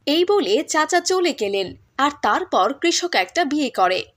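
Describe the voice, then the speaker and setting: High-pitched woman narrating a story. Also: very animated, unhurried, a bit bright. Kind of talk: scripted cartoon story narration.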